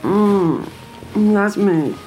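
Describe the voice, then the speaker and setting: A woman's voice: mid-range voice, expressive, very slow, warm tone, faint music behind, poor audio. An actress playing a stubborn, weary woman around forty, grumbling reluctantly in an audio drama. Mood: sullen, weary. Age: middle-aged. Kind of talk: acted dialogue in an audio drama.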